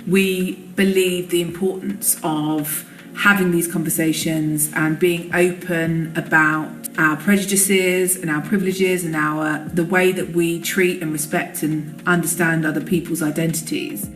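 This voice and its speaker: A woman's voice: lowish voice, level tone, a little slow, a bit bright, faint music behind. A woman giving considered interview remarks for a promotional video. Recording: echoey room, distant from mic.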